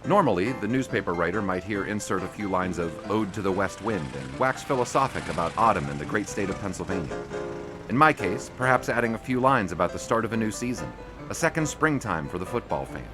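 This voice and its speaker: Brief: a man as a wry period newsman narrator, low and animated, music bed. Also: a little fast. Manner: wry and breezy. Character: wry young male 1920s sportswriter. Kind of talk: first-person noir-style narration.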